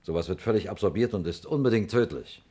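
An actor playing a matter-of-fact, methodical police inspector in a 1960s German radio crime drama. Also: male voice, fairly low pitch, quick pace, dark tone, husky.

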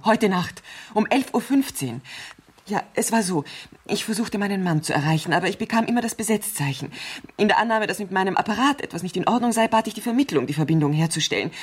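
An actress playing an anxious, imperious bedridden rich wife in a 1970s German radio thriller, urgent and impatient. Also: female voice, mid-range voice, breathy edge. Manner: urgent, agitated, impatient, haltingly insistent.

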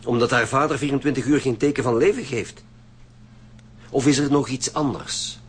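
An actor playing a businesslike chief inspector, probing and firm, with a Flemish accent in a radio drama. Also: male voice, medium pitch, normal speed, slight background noise, close to an everyday voice. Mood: firm, suspicious. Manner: formal, probing questioning. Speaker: actor in a radio crime serial.

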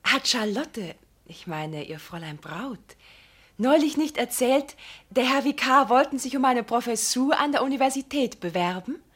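An actress playing an unctuous, insinuating married woman in a radio drama, breathy, hesitant and deferential. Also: fairly high pitch, expressive, moderate pace, fairly bright tone. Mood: sly, deferential. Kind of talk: German radio drama acting.

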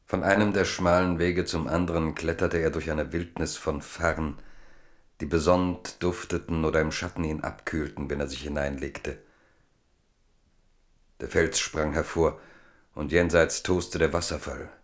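Very deep, slow male narrator. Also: steady tone. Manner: measured, solemn. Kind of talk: literary scripted radio play narration.

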